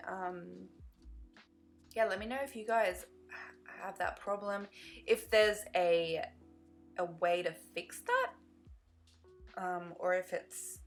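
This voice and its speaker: A young woman chatting casually over a game build in a rambling, easily sidetracked way, her voice slightly croaky from tiredness. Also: medium pitch, fairly bright tone. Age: young adult. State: slightly croaky from lack of sleep. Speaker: gaming video creator.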